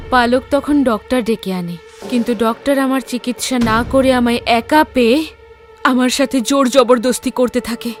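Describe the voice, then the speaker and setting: An eerie female ghost from a horror cartoon, played by a woman, with a high voice. She is a beautiful, sorrowful mother who speaks in a measured way over faint music. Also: natural voice. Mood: sorrowful, solemn.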